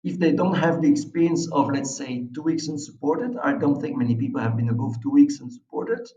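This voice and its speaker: A man's voice: on the high side, animated, brisk, mellow. A man from Belgium with a Belgian accent, speaking English as a second language as an adventurer being interviewed. He talks conversationally and emphatically about his own experiences. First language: not English. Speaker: interview guest, expedition adventurer. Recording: roomy, distant, dull microphone sound.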